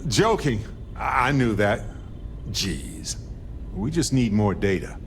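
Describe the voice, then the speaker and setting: Earnest, nerdy space scientist. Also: male voice, lowish voice, expressive, slow, slight background noise. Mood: thoughtful. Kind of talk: video game dialogue.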